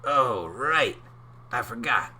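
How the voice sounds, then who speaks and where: A friendly little fish talks eagerly and cheerfully, as a man puts him on in a read-aloud. The tone is bright, and the pitch bounces around a lot. He sounds upbeat and keen. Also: animated, slow pace, a little hiss or hum, full character voice.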